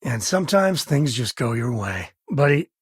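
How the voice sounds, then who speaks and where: A rugged frontier space ranger from a video game, very husky and rough-edged, recorded close and dry. He talks in a folksy, sardonic way, weary but loyal, like someone who has seen plenty and says it plainly. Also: medium pitch, sing-song, normal speed, no echo. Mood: wry, easygoing. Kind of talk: video game character dialogue.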